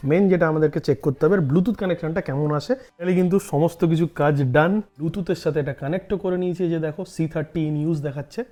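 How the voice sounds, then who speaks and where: Fast-talking man with a mellow, higher-pitched voice. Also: no echo. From India. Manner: explanatory, addresses viewers directly, mixes in English words. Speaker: vlogger, host. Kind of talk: casual product review vlog.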